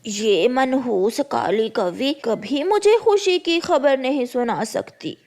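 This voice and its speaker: A woman's voice: high-pitched, animated, takes it slowly, darkish tone, heavily acted. A woman voicing a spiteful, impatient old crow mother-in-law in a cartoon story, nagging and commanding. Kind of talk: Hindi cartoon story acting.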